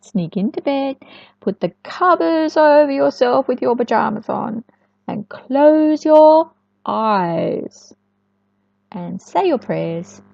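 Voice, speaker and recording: A woman's voice: high-pitched, expressive, slow, vocal fry, darkish tone, no echo, muffled recording. A woman telling a picture-book story to young children, with chatty asides and words repeated for emphasis.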